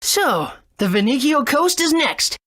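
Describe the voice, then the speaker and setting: A woman's voice: high voice, big pitch swings, a little slow, a bit bright, no echo, heavily acted. An actress voicing an earnest, kind and curious young boy hero in a video game. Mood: questioning.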